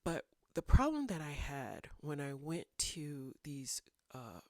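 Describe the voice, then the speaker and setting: A woman's voice: sits low, big pitch swings, slow, warm tone, dry recording, clean studio sound. A woman in her thirties hosting a writing vlog, a little congested from a cold, talking thoughtfully and meandering with fillers. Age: 30s. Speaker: writing channel host. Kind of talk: casual talking-head vlog.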